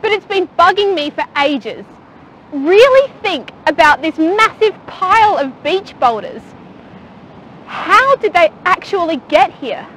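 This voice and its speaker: A young woman talks through a geology lesson with playful enthusiasm, her voice set high. Light background rumble sits under her voice outdoors on a rocky beach. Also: very animated, measured pace, fairly bright tone, low-quality recording. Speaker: geologist presenter. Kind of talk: scripted science explainer.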